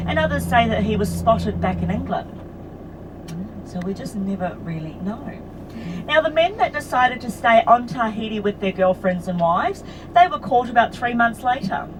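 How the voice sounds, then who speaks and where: Female tour bus driver, New Zealand accent, chatty storyteller with offhand asides; caught from a distance over loud road rumble. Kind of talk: guided tour commentary. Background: vehicle engine and road noise.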